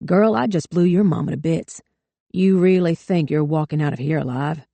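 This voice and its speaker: Woman putting on a violent gunman, snarling, rough, low dark voice. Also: normal speed, dry recording. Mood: furious.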